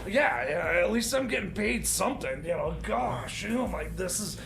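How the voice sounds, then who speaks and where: A man playing a flustered, grumbling time machine mechanic in radio comedy, stammering and scattered, with a creaky high voice. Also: expressive, normal speed, a loud crowd, heavily acted. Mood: defensive, exasperated.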